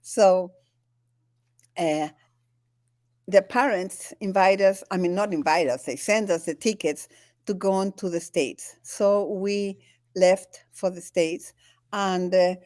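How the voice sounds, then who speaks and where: An older woman from Chile with a Chilean Spanish accent, talking in an interview as a guest. Her native language is Spanish, and she speaks haltingly, with many restarts and frequent laughs. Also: lowish voice, fairly even intonation, darkish tone, dry recording, clean studio sound. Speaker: guest, spiritual teacher. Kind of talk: conversational interview. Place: home in the Andes.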